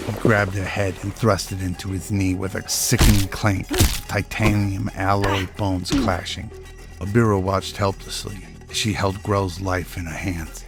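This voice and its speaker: A man reading scripted narration for an action-filled radio drama as its storyteller. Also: deep voice.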